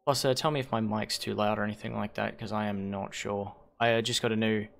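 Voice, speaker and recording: A hoarse man hosting a league stream on a headset mic, rambling and correcting himself as he thinks aloud. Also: fairly low pitch, fairly even intonation, fairly quick, slight background noise. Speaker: league host and shoutcaster. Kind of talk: casual livestream commentary.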